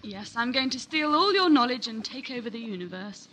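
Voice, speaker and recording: Woman doing a sidekick in a space audio play, high crisp edge to her voice, dry and teasing, faint hiss. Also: very animated, fairly quick, fairly bright tone, poor audio. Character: sharp, teasing, curious female travelling companion. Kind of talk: amateur audio drama acting.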